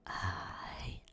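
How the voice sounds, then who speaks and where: A man playing a lovesick, obsessive young yandere stalker in an ASMR roleplay, awkwardly sweet and breathy, with halting, nervous delivery and soft asides. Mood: adoring, playful.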